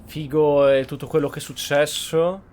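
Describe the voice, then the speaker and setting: Higher-pitched Italian male streamer. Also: fairly even intonation, moderate pace, a little mellow. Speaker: gameplay streamer. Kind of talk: casual gameplay commentary.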